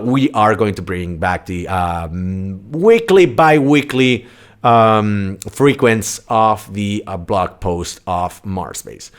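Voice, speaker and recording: A man's voice: lowish voice, clean tone. A man hosting a podcast interview, chatty and blunt, with frequent fillers and restarts. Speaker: podcast host.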